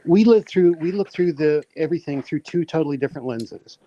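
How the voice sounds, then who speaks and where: A man's voice: a little high, animated, fairly quick, a crowd in the background. A man talking bluntly and opinionatedly, with some swearing, in a casual group voice chat. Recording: dull lo-fi line with faint noise.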